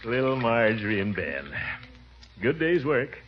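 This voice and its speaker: An actor playing a blustering, pompous middle-aged uncle in a 1940s radio comedy, booming and chuckling. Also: male voice, sing-song, measured pace, background noise. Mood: pleased. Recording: dull 1940s radio broadcast.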